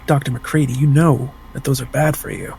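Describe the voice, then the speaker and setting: A man playing an earnest, reserved spaceship crewman in a tabletop roleplay, speaking haltingly with thoughtful pauses. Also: mid-range voice, steady tone, creaky, darkish tone, slight background noise, close to an everyday voice. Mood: gently teasing. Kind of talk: in-character tabletop roleplay with third-person narration.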